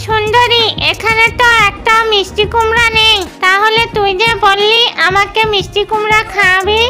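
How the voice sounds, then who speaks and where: A woman's voice: very high-pitched, level tone, normal speed, bright, forceful tone, faint music behind. A woman voicing an innocent little animal child in a cartoon, naive and pleading. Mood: puzzled.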